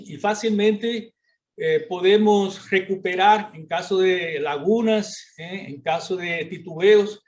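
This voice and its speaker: A man with a Portuguese-influenced accent giving an academic lecture over a video call, halting and full of filler sounds. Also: high voice. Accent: Portuguese-influenced Spanish. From Brazil.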